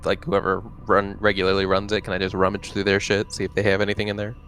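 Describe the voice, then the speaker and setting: A man's voice: low-pitched, completely flat delivery, fast talker, a bit bright, slight background noise. A man chatting casually as a player in a tabletop roleplaying game.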